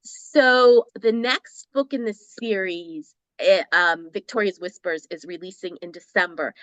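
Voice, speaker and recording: Chatty bright-voiced woman author. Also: fairly low pitch, a little slow, dry recording, rough recording. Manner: enthusiastic, chatty, laughs often, restarts sentences. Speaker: romance author, guest. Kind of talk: casual interview chat.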